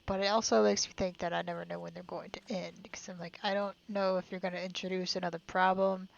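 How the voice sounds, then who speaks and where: A woman's voice: lowish voice, flat delivery, fast talker, vocal fry, muffled recording. A woman giving casual live commentary while playing a game, chattily thinking aloud to herself. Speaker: game streamer.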